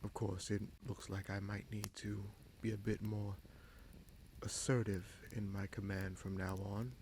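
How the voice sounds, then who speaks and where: A man playing a bitter, scheming prince in a fantasy audio roleplay, speaking deliberately with sardonic menace and dramatic pauses. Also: fairly low pitch, flat delivery, gravelly, darkish tone, a little hiss or hum. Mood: smug, controlled. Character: bitter, scheming bastard prince commanding a captive dragon.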